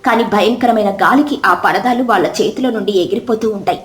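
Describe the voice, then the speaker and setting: A woman's voice: medium pitch, fairly quick, reverberant. A woman reading scripted, dramatic moral-story narration.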